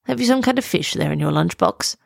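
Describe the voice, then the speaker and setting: A woman voicing a grumpy but obliging old turtle in a children's storybook, muttering and unhurried. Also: on the low side, animated, gravelly, no room sound, clean studio sound, full character voice.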